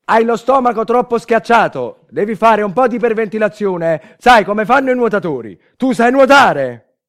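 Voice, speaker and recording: A man performs a male army captain in radio theatre, loud and practical. He speaks high and urgently, giving firm instructions. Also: animated, moderate pace.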